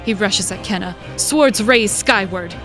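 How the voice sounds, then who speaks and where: A woman's voice: medium pitch, a little slow, a bit bright, soft background music. A woman reading scripted, dramatic narration for a fantasy story as its narrator.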